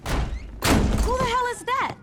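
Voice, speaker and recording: A woman playing a feisty, excitable gangster's girlfriend in a video game cutscene. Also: very high voice, takes it slowly, heavy background noise. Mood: exasperated. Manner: excitable, scolding.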